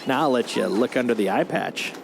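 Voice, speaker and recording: A man's voice: medium pitch, a little fast, vocal fry. A man doing casual live gameplay commentary as a streamer, jokey and given to playful exclamations. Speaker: game streamer. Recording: very noisy, game audio behind.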